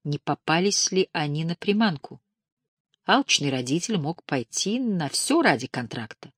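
A woman reads an audiobook in a measured, expressive way. Her voice sits on the low side and is captured close on a studio mic. The sound is completely dry, with no room at all. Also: breathy edge, dry recording. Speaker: audiobook narrator. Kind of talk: scripted audiobook narration.

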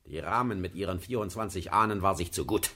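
An actor playing a choleric, tyrannical old Prussian king in a radio play, with imperious, barking commands and a husky, creaky voice. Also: deep voice, a little fast, bright, forceful tone. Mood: raging.

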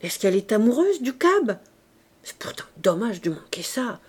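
A male street robber mutters over a job gone wrong, as a woman reader puts him on. He talks low and conspiratorial in a storybook reading. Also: very animated, normal speed, a little mellow, slightly rough. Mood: scheming.

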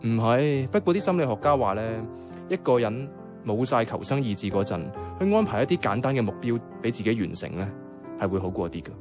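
A man with a Hong Kong Cantonese accent playing a caring, easygoing young flatmate in a radio drama, talking casually and earnestly. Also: medium pitch, brisk, close to an everyday voice. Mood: earnest, reflective. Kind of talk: conversational radio drama dialogue. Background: background music.

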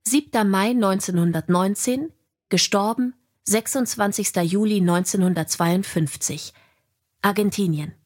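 Mid-pitched woman reading storybook narration. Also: a little mellow, husky. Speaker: audiobook narrator.

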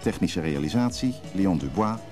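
A man giving a scripted broadcast announcement as a radio announcer, formal and measured. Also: sits low, expressive. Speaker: announcer reading radio drama credits. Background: music.

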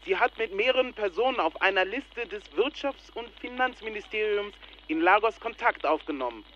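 An actor playing a self-assured, formal and insistent Nigerian scam official in a radio play, speaking over the telephone. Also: male voice, high-pitched, animated, normal speed, bright, forceful tone. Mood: brusque, assured. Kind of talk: radio play acting, formal phone talk. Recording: dull, noisy telephone line.

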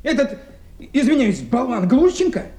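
A pompous, aggrieved working man with a high, very animated voice. He stammers and pads his complaints with officious phrases, querulous throughout, in a 1970s radio drama with a roomy echo. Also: normal speed. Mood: querulous, mocking.

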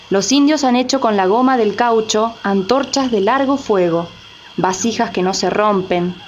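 A woman's voice: on the high side, darkish tone, muffled recording, a little hiss or hum. A woman playing an earnest storyteller, reading scripted narration in a radio drama.

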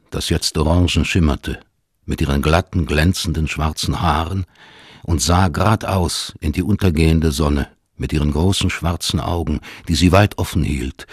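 A man with a husky voice reading scripted literary narration in a measured storytelling manner. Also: a bass voice, dry recording, clean studio sound.

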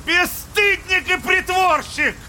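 An actor playing a proud, wounded, hot-tempered young son in an audio drama, impassioned and indignant. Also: male voice, extremely high pitch, slow, pushed and bright, a little hiss or hum, full character voice. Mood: furious indignation. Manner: impassioned, indignant, swinging into outbursts. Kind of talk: Russian classic audio drama acting.

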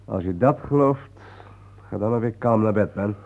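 A male actor in a Dutch radio play, playing a calm, enigmatic guide haunted by war, speaking in a measured, patient, cryptic way. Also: lowish voice, creaky. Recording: noisy, thin phone-like old radio recording.

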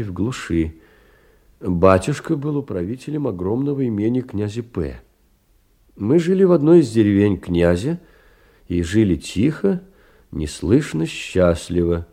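An actor playing a narrator of a literary memoir in an audio drama, telling it in a dramatic, deliberate, theatrical way. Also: male voice, sits low, warm tone, heavily acted. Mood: sorrowful, nostalgic. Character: male narrator reading a young woman's sorrowful memoir.

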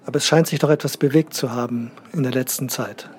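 A man's voice: mid-range voice, steady tone, fast talker, darkish tone, close to an everyday voice. A man playing a polite, probing interviewer who puts formal questions in a satirical news interview.

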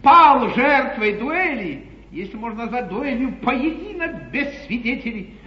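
An actor playing an eloquent, ironic English lord in a classical 1950s stage comedy, declaiming grandly. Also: male voice, extremely high pitch, big pitch swings, measured pace, heavily acted. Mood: declamatory indignation. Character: eloquent, theatrical English lord, an opposition politician. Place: theatre stage. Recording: dull 1950s live theatre recording, echoey hall, hiss.

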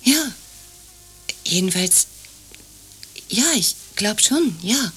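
An actress playing a capricious, commanding woman in radio play dialogue, husky and breathy, with a curt, evasive way of talking. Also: mid-range voice, animated, drawn-out words, fairly bright tone, heavily acted. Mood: placating, evasive. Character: capricious, commanding woman in an absurd couple sketch.

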